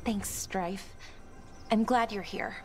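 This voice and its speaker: An actress playing a grieving, earnest young elf woman in a video game, speaking tenderly in halting short phrases. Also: medium pitch, a loud crowd. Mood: solemn, sad. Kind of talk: video game cutscene acting. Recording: game audio with music underneath.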